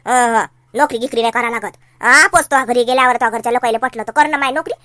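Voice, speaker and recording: Woman as a nagging, bright-voiced Varhadi Marathi mother. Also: fairly high pitch, fast, dry recording. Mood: chiding, coaxing. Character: fretful, nagging, doting middle-aged village mother. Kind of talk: cartoon comedy dialogue.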